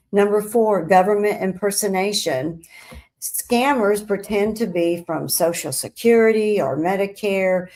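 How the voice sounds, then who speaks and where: A woman hosting a scripted explainer, speaking in deliberate, emphatic phrases with pauses. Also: medium pitch, a little mellow. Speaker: host of a crime statistics show.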